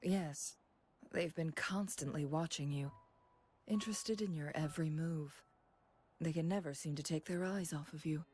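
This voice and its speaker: A woman voicing a composed, courteous guide and strategist in a video game, speaking in a measured, formal and elegant way. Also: sits low, a little mellow, a little hiss or hum, lightly acted. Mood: calm, measured.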